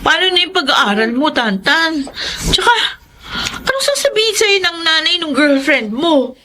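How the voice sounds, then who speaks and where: An actress playing a bossy young student sister in a radio drama, briskly questioning and nagging. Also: female voice, high voice, big pitch swings, sharp tone, a little hiss or hum, heavily acted. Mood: worried. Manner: brisk, interrogating. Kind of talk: Tagalog radio drama acting.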